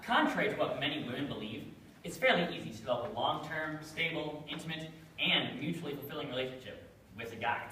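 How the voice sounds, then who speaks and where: Young man, comic stage narrator, slightly high voice, distant mic, faint noise. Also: lively intonation, fast, pushed and bright, heavy reverb. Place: school chapel stage before an audience.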